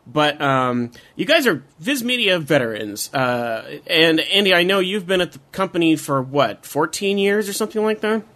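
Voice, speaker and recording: A man hosting a casual podcast interview with a bright, forceful voice set a little high. He asks loose, friendly questions and pads them with plenty of fillers. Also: very animated, moderate pace, few pauses. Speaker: podcast host.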